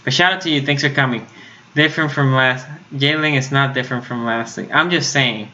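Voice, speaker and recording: A man's voice: mid-range voice, brisk, sharp tone, lo-fi sound, slight background noise. A man from Puerto Rico whose first language is Spanish, hosting a casual sports live stream, reading the chat and restarting his sentences often. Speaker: sports YouTube host.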